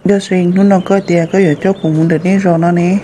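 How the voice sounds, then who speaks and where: A man voicing an excitable adult man in a folk-tale cartoon, exclaiming often. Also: high voice, fast, slight background noise, close to an everyday voice. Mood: lively. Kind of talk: cartoon voice acting. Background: music.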